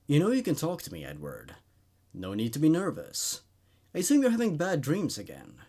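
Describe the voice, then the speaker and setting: A man voicing an attentive male psychiatrist in a horror story, measured, clinical and questioning. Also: a little high, wildly swooping pitch, mellow, husky, full character voice. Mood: probing, reassuring. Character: probing, attentive male psychiatrist taking notes.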